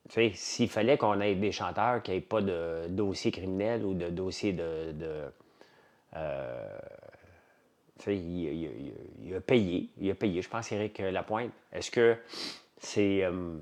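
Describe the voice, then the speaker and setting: A man's voice: sits low, lively intonation, takes it slowly, a little mellow. A man with a Quebec French accent chatting casually to livestream viewers, rambling and blunt, in colloquial words and often repeating himself. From Quebec, Canada. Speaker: livestream host.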